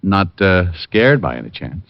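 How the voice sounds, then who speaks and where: An actor playing a shrewd, sardonic private detective with an American accent in a hard-boiled old radio drama. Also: male voice, low-pitched, big pitch swings, a little slow, vocal fry, no room sound, heavily acted. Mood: mock friendly. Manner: sardonic, teasing. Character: wry, shrewd, needling male private detective. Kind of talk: hard-boiled first-person narration, 1940s-50s radio acting. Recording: muffled old radio recording with music.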